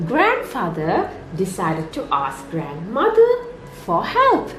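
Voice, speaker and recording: A woman reading a children's story aloud as a nursery storyteller, in an expressive, sing-song way meant for young children. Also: medium pitch, takes it slowly, slight background noise. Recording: roomy echo, music underneath.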